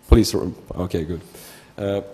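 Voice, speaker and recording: A man in his thirties from Sweden, with a Swedish accent, giving an informal technical conference talk in a halting way, often restarting words. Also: low-pitched, dark tone, slightly rough. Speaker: researcher presenting at a conference. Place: large indoor conference hall, at a lectern. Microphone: gooseneck lectern microphone. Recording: reverberant lecture hall.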